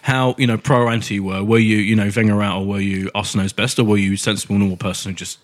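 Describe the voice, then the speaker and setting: A man's voice: lowish voice, flat delivery, fast talker. A man hosting a podcast with a cold that leaves him stuffed up, chatty and self-mocking, often laughing. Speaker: podcast host. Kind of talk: casual podcast chat.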